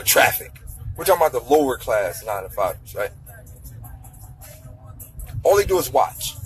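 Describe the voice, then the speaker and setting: A man's voice: mid-range voice, rough recording. A man in his thirties, ranting casually to camera with blunt, profane money advice and lots of repetition. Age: 30s. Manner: blunt, profane, lecturing, repeats himself for emphasis. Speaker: vlogger giving money advice. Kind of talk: casual motivational rant to camera.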